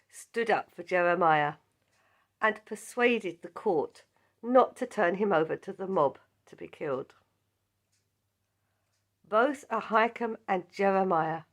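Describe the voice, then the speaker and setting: Slow-speaking croaky-voiced woman teaching Bible study. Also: mid-range voice, a bit bright. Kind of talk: scripted Bible lesson.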